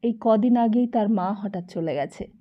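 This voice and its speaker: A woman's voice: mid-range voice, normal speed, highs cut off. A woman narrating a novel expressively as an audiobook.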